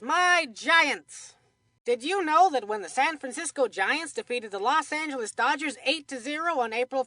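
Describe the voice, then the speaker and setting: Bright, high-pitched folksy baseball commentator kid. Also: female voice, no room sound, studio quality. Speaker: sports commentator. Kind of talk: scripted video game baseball commentary.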